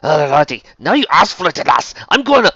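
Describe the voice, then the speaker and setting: A man's voice: on the high side, big pitch swings, moderate pace, fairly bright tone, slightly rough, no echo, highs cut off, voice pushed up, exaggerated voice. A man voicing a villainous thick-set Scottish golfer in a story, taunting and blustering with a Scottish accent. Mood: menacing.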